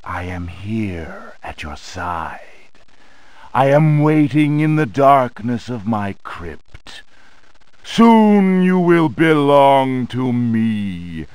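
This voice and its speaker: Slow, menacing undead pirate sorcerer. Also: male voice, medium pitch, sing-song, a little mellow, smooth, no echo. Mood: ominous, gloating. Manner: slow, theatrical, gloating. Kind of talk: dramatic narrated villain monologue in a video game.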